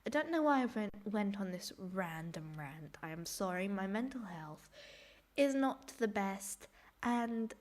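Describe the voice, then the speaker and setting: A woman reacting casually to clips in a rambling, self-deprecating way, her voice hoarse and giving out from a cold. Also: medium pitch, lively intonation, unhurried, darkish tone. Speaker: host reacting to cartoon clips.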